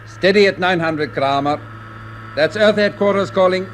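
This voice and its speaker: An actor playing a cautious, dutiful second-in-command on a spaceship, formal and clipped, in 1950s radio drama style. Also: male voice, sits high, normal speed, natural voice. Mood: crisp, dutiful. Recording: noisy old radio broadcast recording.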